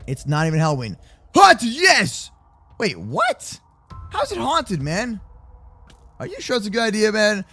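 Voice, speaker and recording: Very high-pitched, excitable young man. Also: measured pace, pauses often, breathy edge. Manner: exclamatory, slangy, often shouting, playful. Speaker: host of a gaming roleplay channel. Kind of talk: excitable children's gaming roleplay commentary. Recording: faint music behind the voice. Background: faint music.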